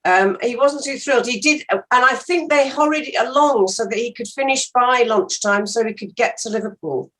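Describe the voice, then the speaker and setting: A woman, an actress being interviewed, reminisces casually in a measured, precise and polite manner. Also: on the high side, a bit bright.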